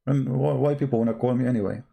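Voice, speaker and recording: Arabic accented guy ranting on a livestream, creaky voice, blunt and combative, broken english. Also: mid-range voice, fairly even intonation, warm tone. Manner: blunt, combative, halting non-native English. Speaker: livestream host, religious polemicist. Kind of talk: casual livestream monologue answering chat comments.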